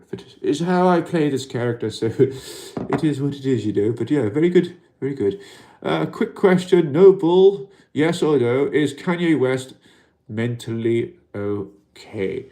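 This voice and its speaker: A Welsh man hosting a casual livestream in an alien costume, talking gently and reflectively and reading out viewer comments, with lots of "you know" fillers. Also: fairly high pitch, lively intonation, a little slow. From Wales.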